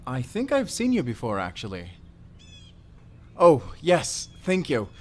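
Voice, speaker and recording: A man's voice: medium pitch, wildly swooping pitch, unhurried, a little mellow. Man playing a flustered, friendly, awkward neighbor guy. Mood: flustered, apologetic. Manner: flustered, apologetic, rambling, nervous chuckles. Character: friendly, awkward, bird-loving divorced father and neighbor. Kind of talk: naturalistic audio roleplay acting addressed to the listener.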